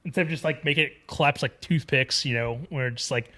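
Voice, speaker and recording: A man's voice: medium pitch, fairly quick, bright, forceful tone. A man chatting casually and informally as a co-host on a film discussion podcast, recorded outdoors.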